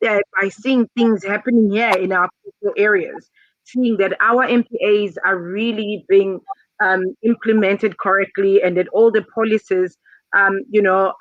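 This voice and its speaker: A woman's voice: medium pitch, dry recording. A woman speaking at an online youth summit, hesitant and full of fillers and repeats, over a video call. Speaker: summit participant. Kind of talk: casual online discussion. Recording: dull dry video-call audio.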